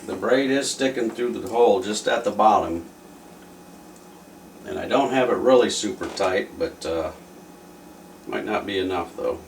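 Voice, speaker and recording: A man's voice: on the low side, measured pace, long pauses. A man giving casual, offhand commentary as he thinks aloud during a hands-on repair. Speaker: repair video presenter. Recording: roomy, distant mic with faint noise.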